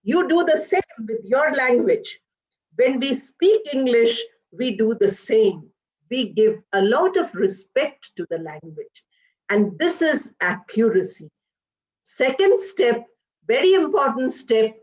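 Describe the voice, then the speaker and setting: A woman lectures slowly and with care, on a somewhat high voice, leaning on single words as she lays out ideas step by step. The sound is dull and lo-fi, like an online class picked up through a computer mic. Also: a little mellow. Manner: explains step by step with simple examples, stretches words for emphasis. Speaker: English professor giving a lecture. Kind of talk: slow, deliberate academic lecture.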